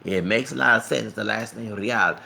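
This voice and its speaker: A man's voice: lowish voice, normal speed, vocal fry, sharp tone. A man telling stories in a casual, rambling vlog monologue, often repeating words, tagging lines with "okay?" and slipping into Spanish. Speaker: vlogger.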